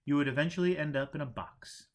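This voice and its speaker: Storybook monk mentor, played by a male narrator: didactic, teasing, deliberate delivery, unshakeably sure of himself. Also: on the high side, pitched above the performer's own voice, lightly acted. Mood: preachy. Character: imperturbable male monk teacher, preachy and teasing.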